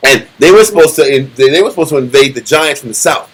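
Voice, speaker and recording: A middle-aged man leading a Bible study, teaching in an animated, preachy way and often repeating himself. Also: medium pitch, quick pace, a little hiss or hum.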